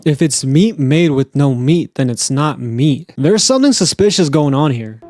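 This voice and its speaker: A man's voice: a little high, expressive, normal speed, a little mellow, soft background music. A man giving casual, slangy, sardonic reaction commentary as a streamer.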